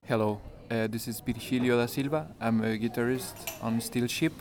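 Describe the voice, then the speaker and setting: A man's voice: mid-range voice, fairly even intonation, moderate pace, a little mellow, a little hiss or hum. A man, the band's guitarist, answering interview questions while searching for words. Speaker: guitarist in a band.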